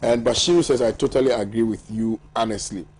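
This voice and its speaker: A man's voice: mid-range voice, brisk, a little mellow, slight background noise. A man with a Ghanaian accent hosting a morning TV show, reading viewer messages aloud and bantering with his panel with frequent laughter. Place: TV studio.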